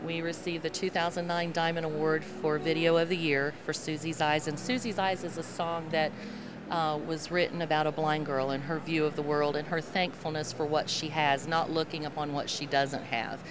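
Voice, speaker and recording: A middle-aged woman with a low speaking voice talks brightly about her singing group. She speaks into a handheld microphone at a busy convention, and a noisy hall hums behind her. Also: steady tone, normal speed. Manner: low voice, chatty and upbeat. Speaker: gospel singer. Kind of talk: interview answers. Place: convention hall interview booth. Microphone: handheld mic held by host.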